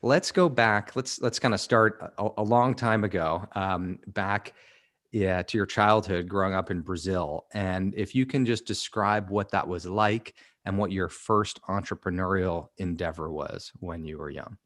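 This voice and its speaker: A man's voice: low voice, steady tone, measured pace, fairly bright tone. A man hosting a fireside chat over a video call, friendly and prompting his guest with questions, with fillers. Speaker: host, interviewer.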